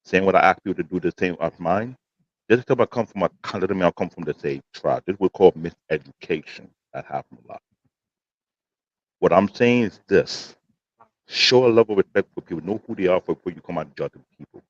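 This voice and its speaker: A man's voice: expressive, brisk, dry recording. A man, speaking earnestly and formally on a live panel, repeating himself for emphasis. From Nigeria. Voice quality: deep voice. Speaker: panel guest. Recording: dull muffled voice-chat audio.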